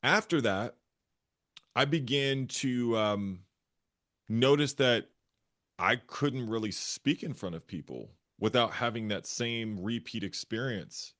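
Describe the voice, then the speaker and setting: A man with a bass voice, a therapist and guest, earnestly telling his own story and often repeating phrases as he searches for words. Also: slow, fairly bright tone. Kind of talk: candid personal storytelling.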